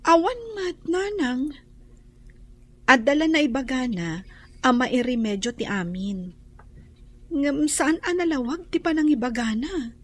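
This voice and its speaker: A woman's voice: high-pitched, unhurried, long pauses. A woman with a Filipino accent playing a young student, a dutiful daughter, in an Ilocano radio drama. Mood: defensive, worried.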